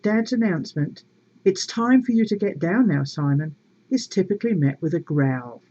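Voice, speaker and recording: Woman doing storytime, soft mellow kinda husky voice, wry expressive reading, dull lo-fi home recording. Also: lowish voice, normal speed. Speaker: storyteller reading a book aloud to viewers. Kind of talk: read-aloud storytelling with casual asides.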